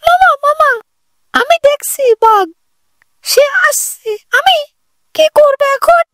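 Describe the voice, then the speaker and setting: A tiny, extremely high child's voice for a moral-story cartoon. The youngster is earnest and timid, trying hard to be brave. The recording is dry and close. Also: female voice, lively intonation, slow, a bit bright, no room sound, exaggerated voice. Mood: worried.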